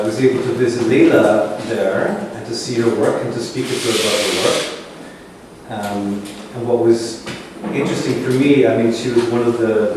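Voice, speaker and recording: A man hosting a gallery talk as curator, speaking in a measured, reflective way as he searches for words. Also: mid-range voice. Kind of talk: public talk introducing an artist. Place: large echoey gallery room. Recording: echoey gallery room from far off with ambient noise.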